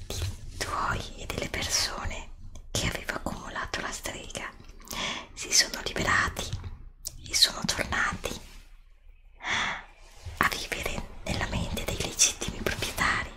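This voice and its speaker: A woman playing a grateful, gentle royal of a fairy-tale kingdom, soothing and solemn in an ASMR roleplay. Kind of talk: whispered Italian ASMR roleplay.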